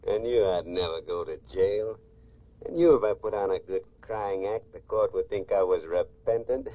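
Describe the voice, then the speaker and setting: Deep-voiced cocky young radio bandit. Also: male voice, measured pace, dry recording. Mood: gloating, scheming. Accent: American. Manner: cocky, commanding, curt. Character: scheming, ruthless young male athlete turned bandit killer. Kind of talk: 1940s radio crime drama acting. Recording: tinny, band-limited old radio recording.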